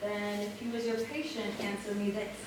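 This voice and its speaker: A woman playing a sharp-witted, sceptical sleuth in a staged comedy reading, challenging others in a precise, argumentative way. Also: mid-range voice, level tone, normal speed, lightly acted. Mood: probing. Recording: very echoey room, distant mic, clear noise.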